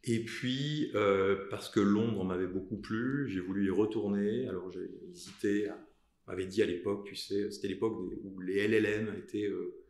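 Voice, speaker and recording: A man in his thirties from southern France, a lawyer telling the story of his career in a podcast interview, pausing often with fillers and restarts. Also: fairly low pitch, steady tone, a little mellow, echoey room. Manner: halting, many fillers and restarts. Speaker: lawyer guest.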